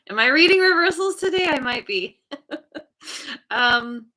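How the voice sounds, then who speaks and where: A woman reads tarot cards in a slow, meandering way, stopping often to search for words. Her voice is bright and forward. She is chatty and laughs at herself from time to time. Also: high voice, animated. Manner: hesitant, many fillers and restarts. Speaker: tarot reader. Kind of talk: casual tarot reading.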